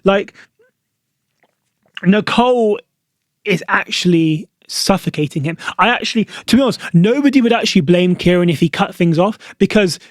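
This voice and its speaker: A man's voice: high-pitched, lively intonation, normal speed, dry recording, clean studio sound. A young British man hosting a solo podcast, talking casually to camera and chatty, with lots of "like" fillers. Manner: chatty, frequent "like" fillers, opinionated. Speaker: podcast host.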